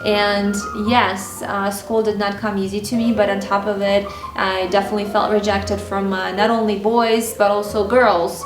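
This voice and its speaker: A woman in her thirties, from Ukraine and a native Russian speaker, talks candidly to camera at a middle pitch, filling her stories with little ums and uhs. The room rings with a noticeable echo, and music plays clearly behind her. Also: little pitch movement, normal speed, few pauses, far from the mic. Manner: candid, conversational, with many fillers. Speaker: style and mindset vlogger. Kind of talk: personal storytelling to camera. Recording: roomy echo with music bed.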